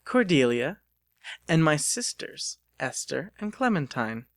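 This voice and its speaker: A man plays a dainty young lady in a storybook. The pitch sits raised and moves freely, with a prim, confident and playfully teasing delivery in a very dry recording. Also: very animated, takes it slowly, no room sound, exaggerated voice. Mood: gracious. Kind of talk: dramatic audiobook character reading.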